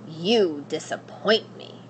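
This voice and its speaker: A woman's voice: fairly low pitch, slight background noise, poor audio. A woman voicing a cold, menacing storybook witch, stern and disdainful, drawing out her words. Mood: scornful. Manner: slow, drawn-out, disdainful.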